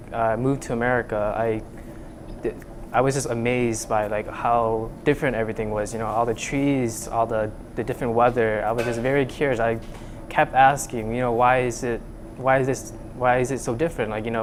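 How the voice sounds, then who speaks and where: A young man from Vietnam speaks earnestly about his lab work, circling back through fillers and restarts. His pitch sits mid-range. A steady air-conditioning hum runs underneath the recording. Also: brisk. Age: young adult. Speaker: student researcher. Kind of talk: casual interview. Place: lab room.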